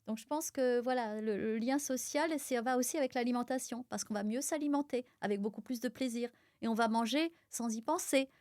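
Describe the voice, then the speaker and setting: A woman's voice: fairly high pitch, brisk, few pauses, no echo, studio quality. A middle-aged French woman from Auvergne, a pharmacist guest explaining nutrition in a podcast conversation with many fillers. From France (Auvergne). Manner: explanatory, lots of fillers, animated. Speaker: guest, pharmacist and micronutrition expert.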